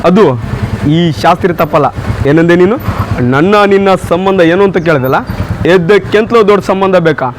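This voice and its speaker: High-pitched menacing lovestruck film hero acted by a man. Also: normal speed, slight background noise, lightly acted. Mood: defiant, insistent. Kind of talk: dramatic Kannada film dialogue recital.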